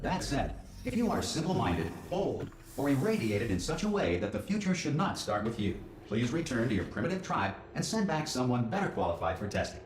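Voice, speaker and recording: A smooth, deadpan corporate announcer, voiced by a man, reading cheerful warnings in a measured tone. His pitch swings expressively, and the sound echoes like a public address system in a big room. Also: background noise, close to an everyday voice. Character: calm corporate male facility announcer.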